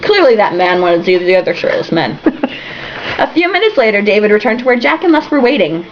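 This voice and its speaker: A woman's voice: medium pitch, sing-song, a little fast, muffled recording, a little hiss or hum. A woman reading a novel aloud for comedy, irreverent and breaking off for snarky, sweary asides. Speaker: amateur book reader and host.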